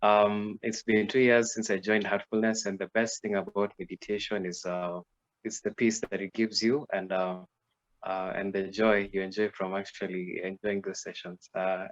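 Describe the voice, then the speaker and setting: A man's voice: on the low side, little pitch movement, normal speed, highs cut off. A man from Kenya who works as a teacher, speaking hesitantly with many fillers.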